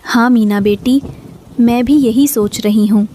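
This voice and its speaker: A gentle cartoon mother bird, played by a woman, with a mellow, fairly high voice. She is humble, caring and a little worried, speaking in polite, earnest, pleading phrases. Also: measured pace, a little hiss or hum, natural voice, low-quality recording. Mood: reassuring. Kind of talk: Hindi cartoon dubbing.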